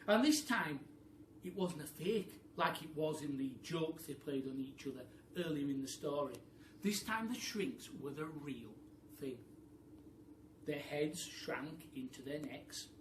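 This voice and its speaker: A British man tells a story for children in an expressive, teacherly way. He speaks to listeners directly. The sound is echoey and slightly distant, with light background noise. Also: fairly high pitch. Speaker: primary school teacher, storyteller. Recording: echoey room, distant mic, faint noise.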